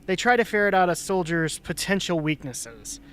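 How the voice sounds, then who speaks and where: A man's voice: high voice, lively intonation, moderate pace, fairly bright tone. A man playing a blunt, sardonic older mentor in an amateur radio drama. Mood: somber. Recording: faint background noise and ambience.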